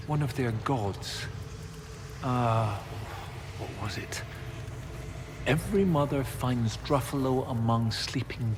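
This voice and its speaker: An actor playing an absent-minded, excitable male professor of history in a video game, halting and muttering as he thinks aloud. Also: mid-range voice. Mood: flustered. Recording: reverberant game hall, noisy ambience.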